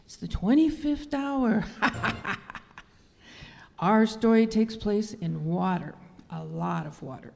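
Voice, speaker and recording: A woman's voice: medium pitch, vocal fry, a little mellow. A woman playing a gleefully ominous horror-show hostess, speaking theatrically in the manner of old-time radio. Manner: theatrical, slow, drops into whispers. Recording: echoey hall with background music.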